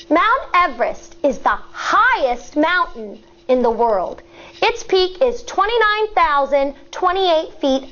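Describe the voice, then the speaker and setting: An American woman with a distinctly high voice reads a picture book to children. Her pace is very measured and her delivery is instructive, like a teacher explaining things step by step. The recording is slightly dull and lo-fi. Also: a bit bright. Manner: deliberate, instructive, addressing young children. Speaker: elementary school teacher reading aloud.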